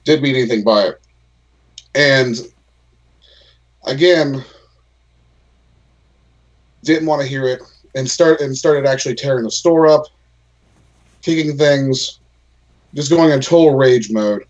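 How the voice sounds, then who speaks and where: Male host, mid pitch, earnest, talky; dull lo-fi recording. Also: slow pace, long pauses. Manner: earnest, explaining at length with restarts. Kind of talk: casual chat. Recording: lo-fi dull sound.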